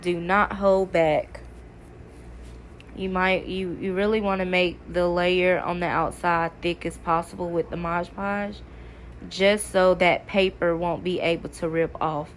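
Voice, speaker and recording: A woman's voice: on the low side, flat delivery, a little slow. Woman, slightly stuffy cold voice, friendly how-to chatter, light background noise. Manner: chatty, addresses viewers as y'all. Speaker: craft video creator. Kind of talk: casual DIY tutorial chat.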